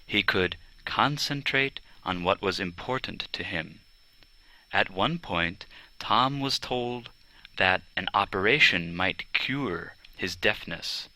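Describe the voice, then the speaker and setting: A man reading a children's biography aloud as scripted narration, in a measured, clearly enunciated way. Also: low-pitched, pushed and bright, rough recording. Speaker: audiobook reader.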